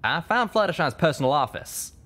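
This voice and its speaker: A man's voice: on the high side, animated, brisk, a bit bright, pitched above the performer's own voice. A man voicing an easygoing, chatty male pegasus pony with folksy talk in an audiobook story. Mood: matter-of-fact.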